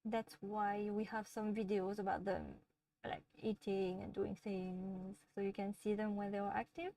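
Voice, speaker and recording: A woman from France with a French accent, hosting a livestream and chatting casually with viewers in a gentle, easygoing way, often laughing. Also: medium pitch, level tone, a little slow, no room sound, recorded from a distance. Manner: gentle, chatty, laughs often, talks softly to her pets. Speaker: livestream host. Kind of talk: casual live chat answering viewers.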